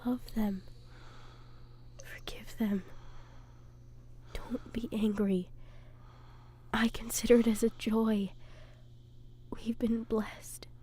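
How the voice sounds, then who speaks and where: A woman playing a battered, devout wife who soothes softly in a breathy, hushed voice in an audio drama. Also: on the high side, level tone, slow, long pauses, a little hiss or hum. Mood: gentle, devout. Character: battered, gentle, devout young wife held captive.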